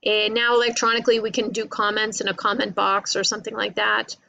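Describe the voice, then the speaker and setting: A woman's voice: mid-range voice, level tone, fast, gravelly, sharp tone, dry recording, lo-fi sound. A woman lecturing informally to college students, explaining in a conversational way, repeating points and often checking in with "right?" and "you know".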